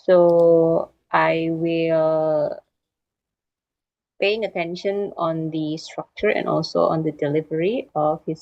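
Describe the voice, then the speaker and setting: A woman introducing a speaker at an online club meeting in a slow, careful, formal way, her voice sounding dull over a video call. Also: fairly low pitch. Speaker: speech evaluator.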